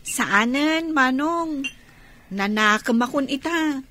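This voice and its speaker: A woman's voice: high-pitched, expressive, takes it slowly, pushed and bright, slight background noise, exaggerated voice. A woman playing a humble, remorseful young daughter in a Philippine radio drama.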